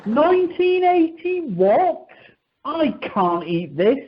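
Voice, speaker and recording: Exasperated check-in man played by a woman, polite, unhurried, animated, radio comedy over dull phone line. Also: sits high, heavy background noise, full character voice. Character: exasperated, polite male airline check-in clerk. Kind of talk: amateur radio comedy acting. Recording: dull telephone conference line.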